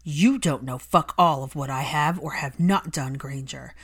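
A woman voicing a proud, guarded father in a story, cold and angry, with a curt, forceful delivery. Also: low-pitched, unhurried, husky, exaggerated voice. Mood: furious, defensive.

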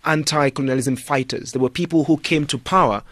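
A man's voice: on the high side, brisk, fairly bright tone, no room sound. A man speaking as a studio guest on a radio talk show, making his points emphatically with pointed rhetorical questions. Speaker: studio guest, political commentator.

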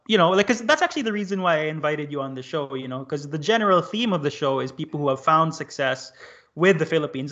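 Male podcast host, higher voice, musing delivery full of fillers, muffled lo-fi audio. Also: fairly bright tone. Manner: thoughtful, filler-heavy with "like" and "uh". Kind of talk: podcast interview.

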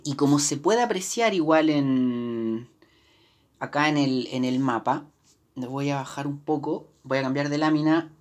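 A woman's voice: deep voice, a little mellow. A woman with a Chilean accent giving a live history lesson, explaining from slides with many fillers and restarts. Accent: Chilean Spanish. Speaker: history teacher. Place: indoors, teaching from slides.

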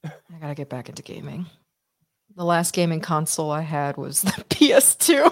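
Lower-voiced woman, blunt and expressive. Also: moderate pace, dry recording, studio quality. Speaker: podcast co-host. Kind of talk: casual movie watch-party chat.

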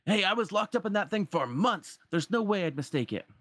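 A man's voice: high-pitched, expressive, a little fast, a bit bright, dry recording, clean studio sound, voice pushed up. A man voicing a jittery, indignant cartoon parrot with an agitated, insistent delivery.

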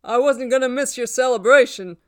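A woman's voice: sits high, fairly quick, a bit bright, no room sound, clean studio sound, voice pushed up. A woman voicing a cocky young man who wheedles and pleads with his ex in an audiobook. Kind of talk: audiobook character voice.

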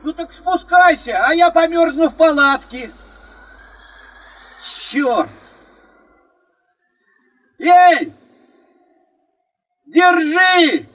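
An actor playing a scrappy, excitable gold digger in a Soviet radio drama, shouting and teasing in rough colloquial speech. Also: male voice, very high-pitched, very slow, long pauses, lo-fi sound, full character voice. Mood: cheeky, excited.

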